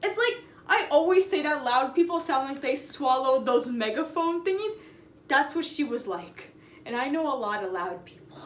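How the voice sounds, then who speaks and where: A young woman casually telling an anecdote in a chatty, animated, sarcastic way, stretching words for emphasis. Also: high voice, normal speed. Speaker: storytime vlogger. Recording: roomy echo, noticeable background noise.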